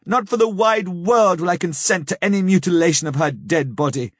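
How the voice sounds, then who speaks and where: A man's voice: on the high side, steady tone, a little fast, dark tone, breathy edge, dry recording, clean studio sound. A man voicing a young nobleman who speaks with indignant, outraged passion in an audiobook. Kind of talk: audiobook character reading.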